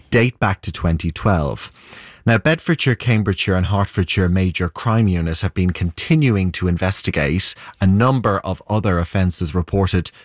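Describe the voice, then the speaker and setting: A man's voice: deep voice, dry recording. A man giving a live news report in a radio studio.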